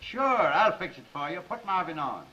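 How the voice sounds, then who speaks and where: An actor playing a sly, double-crossing newspaper boss in a 1930s film. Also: male voice, high voice, big pitch swings, moderate pace, pushed and bright, exaggerated voice. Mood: smooth, sly. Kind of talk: 1930s Hollywood film acting. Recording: muffled, noisy 1930s film soundtrack.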